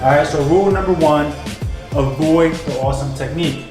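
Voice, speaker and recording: A young man with a stuffy, cold-blocked voice, pitched a little high, teaches at a whiteboard in a bright office. His delivery is direct and energetic, and he keeps checking in with the viewer. The room echoes, and music plays clearly behind him. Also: brisk. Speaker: business coach. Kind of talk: whiteboard tutorial.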